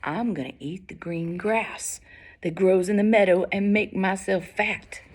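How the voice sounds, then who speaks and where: Lady as a big brave storybook he-goat, calm, slow, not scared at all. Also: medium pitch. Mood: bold, calm. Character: big, fearless male billy goat, calm challenger.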